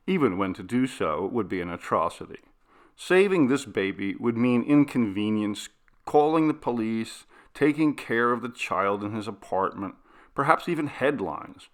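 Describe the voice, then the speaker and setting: A man reading a horror story aloud as narrator, in a deliberate, dramatic audiobook style. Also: mid-range voice, a bit bright.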